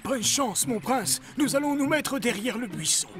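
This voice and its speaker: An actor playing a devoted, mournful servant to a cursed prince in a cartoon, formal, hesitant and deferential. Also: male voice, very high voice, animated, normal speed, crowd noise. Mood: timid, deferential. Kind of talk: French cartoon dubbing.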